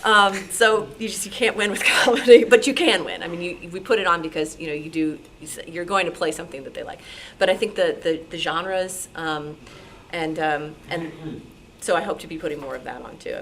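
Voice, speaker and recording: A woman speaking informally at a club meeting, animated and given to acting out other people's remarks. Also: lowish voice, moderate pace. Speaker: radio station programmer. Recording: clear background noise, live meeting room.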